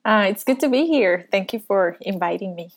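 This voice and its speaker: A woman's voice: mid-range voice, expressive. Woman from Costa Rica, podcast guest on a video call, earnest and thoughtful. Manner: earnest, thoughtful, many pauses. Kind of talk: conversational interview.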